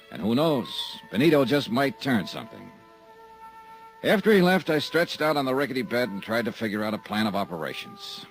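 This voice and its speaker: A middle-aged man playing an insurance investigator, narrating a 1950s radio drama in a dry, wry, hard-boiled manner. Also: on the low side.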